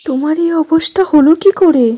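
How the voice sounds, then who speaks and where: A woman's voice: high-pitched, brisk, dark tone, lightly acted. A woman playing a woodcutter's caring, practical wife in a cartoon, in a coaxing, reassuring manner. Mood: concerned. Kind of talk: cartoon moral-story dialogue. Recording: faint noise with birdsong.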